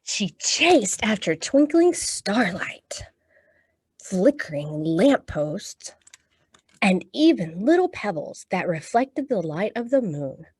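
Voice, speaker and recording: A woman's voice: mid-range voice, sing-song, takes it slowly, darkish tone, breathy edge. A woman hosting a storytime for young children, talking encouragingly and reading aloud to her little audience. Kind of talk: children's storytime with songs and picture-book reading.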